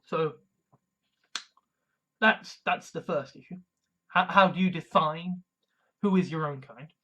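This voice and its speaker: A man from England, giving a measured, deliberate monologue full of restarts and fillers. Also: a little high, pushed and bright, highs cut off. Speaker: video commentator. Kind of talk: casual monologue.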